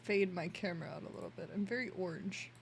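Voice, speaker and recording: A hoarse woman streamer chatting casually to her livestream in a chatty, self-deprecating way from a room at home. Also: on the low side, fast talker, slight background noise.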